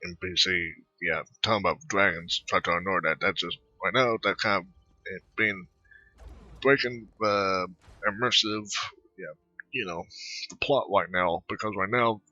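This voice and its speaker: Husky, low-voiced man rambling over gameplay. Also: pushed and bright. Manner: rambling, halting. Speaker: gaming video creator. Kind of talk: casual gameplay commentary. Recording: dull, close dry microphone.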